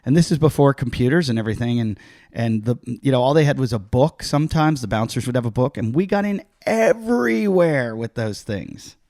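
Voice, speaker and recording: A man's voice: mid-range voice, animated, fairly quick, darkish tone, no room sound. This is a middle-aged man from Pittsburgh hosting a casual podcast. His voice sits in the middle range and sounds dry and close on the mic. He tells loose, self-mocking stories and jumps into short impressions of the people in them. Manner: rambling, self-mocking, acts out voices in anecdotes. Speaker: podcast host, comedian. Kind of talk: casual storytelling chat.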